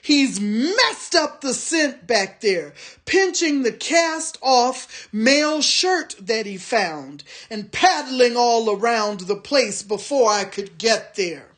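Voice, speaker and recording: A woman's voice: on the high side, animated, takes it slowly, hardly any pauses. A woman voicing a small, sly male orc tracker who snarls and whines. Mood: snarling, complaining. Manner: snarling, whining.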